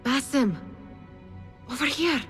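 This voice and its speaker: A woman playing a calm, commanding, mysterious figure in a dramatic video game cutscene. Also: sits high, slow pace, exaggerated voice. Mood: beckoning. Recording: game music behind voice.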